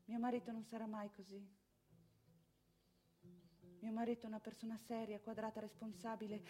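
A woman's voice: fairly high pitch, fairly bright tone, close to an everyday voice. An actress playing a prim, self-assured wife and mother in a confiding, judgmental theatrical monologue. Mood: smug certainty.

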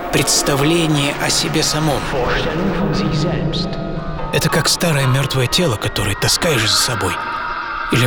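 A man's voice: medium pitch, natural voice. A man playing a wounded German pilot turned artist in a dramatized, reflective first-person radio monologue, speaking in terse, theatrical phrases. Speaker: storyteller. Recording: music under the voice. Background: prominent music.

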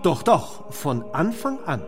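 An actor in a German radio play as a simple, defensive monk who speaks in an earnest, hesitant way. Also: male voice, on the high side, big pitch swings, takes it slowly, music in the background. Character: simple, defensive Dominican monk fond of beer.

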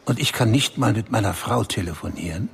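An actor playing a self-important German banker in a radio play, bossy and clipped. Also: male voice, on the low side, lively intonation, a little fast, gravelly, slightly rough, full character voice. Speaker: banker at a summit.

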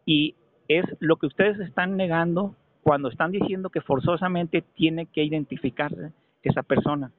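A Mexican man making a call to argue a point, speaking insistently and haltingly, often repeating phrases for emphasis. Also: fairly high pitch, dry recording. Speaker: caller. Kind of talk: phone complaint, argumentative questioning. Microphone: telephone. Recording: narrow, tinny telephone call recording.

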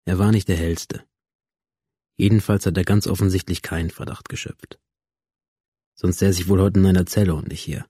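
Bitter young man narrating his past in a radio play, dark soft-edged tone, flat matter-of-fact, very dry studio sound. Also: sits low, moderate pace, pauses often, slightly rough, no echo. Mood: matter-of-fact, guarded. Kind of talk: radio drama, introspective first-person narration.

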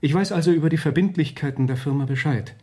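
An actor in a radio drama playing a sober, polite lawyer who speaks in a measured, matter-of-fact way. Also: male voice, fairly high pitch, warm tone, close to an everyday voice. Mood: grave, factual. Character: formal, sober male lawyer and executor.

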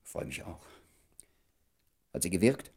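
Husky, inquisitive, flustered doctor. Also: male voice, sits low, very animated, slow, darkish tone, heavily acted. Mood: curious, nettled. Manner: insistent, hesitant, emphatic. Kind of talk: German radio play acting.

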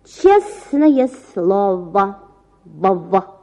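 A woman's voice: mid-range voice, sing-song, extremely slow, darkish tone. A woman voicing a chatty, earnest little boy in a storybook, with naive, singsong prattle. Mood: absent-minded singsong.

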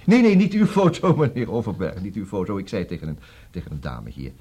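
Distracted busy lawyer, Netherlandic Dutch accent, highly animated pitch, slightly rough voice, stammered repeats, radio comedy. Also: male voice, brisk, heavily acted. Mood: businesslike, hurried. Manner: hesitant fillers, repeats words, juggles several conversations. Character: busy, brisk, distracted male divorce lawyer.

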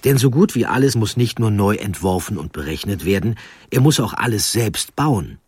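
A man reading a scripted documentary narration with deliberate, emphatic phrasing. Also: lowish voice, lively intonation, darkish tone, slightly rough, dry recording.

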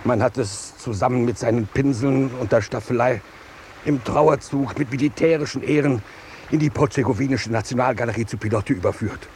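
An actor playing a solemn, suspicious soldier with formal diction in a 1950s German radio play. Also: male voice, medium pitch, brisk, darkish tone, slight background noise, close to an everyday voice.

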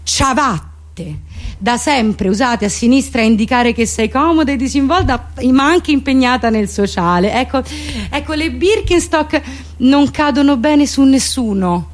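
A woman with a Roman accent performing a theatrical comic monologue, with deadpan, mock-solemn irony and colloquial turns. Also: high voice, measured pace. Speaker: comedian, actress performing her own monologue.

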